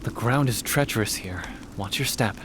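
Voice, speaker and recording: A man playing a calm, caring young lord in a video game, speaking in a composed, formal and measured way. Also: fairly low pitch, background noise, close to an everyday voice.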